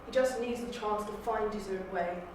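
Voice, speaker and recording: A woman's voice: mid-range voice, normal speed, close to an everyday voice. Woman playing a protective mother, echoey room. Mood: proud, caring. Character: indignant, doting mother defending her gifted son. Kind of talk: audio drama audition reading. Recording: very echoey, distant, noisy room.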